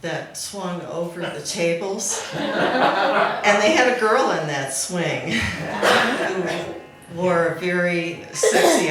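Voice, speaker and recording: A woman speaking at a memorial gathering, telling an informal anecdote in an amused way. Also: fairly low pitch. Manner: amused, often laughing as she talks. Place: back room at a live gathering. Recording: very echoey room, faint noise.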